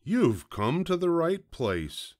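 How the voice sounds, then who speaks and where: A man voicing a hungry, gullible male fox in a children's storybook, with a gruff, blustery, gloating manner. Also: medium pitch, wildly swooping pitch, slow, a bit bright, voice pushed up, full character voice. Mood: gleeful. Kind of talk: children's storybook read-aloud.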